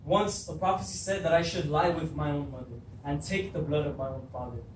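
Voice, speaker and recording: A man's voice: fairly high pitch, a little fast, lightly acted. A man acting a proud, troubled king in an amateur stage reading, declaiming and commanding. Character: proud, troubled king demanding the truth of his birth. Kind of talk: beginning drama class readers theatre. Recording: echoey room, distant mic, faint noise.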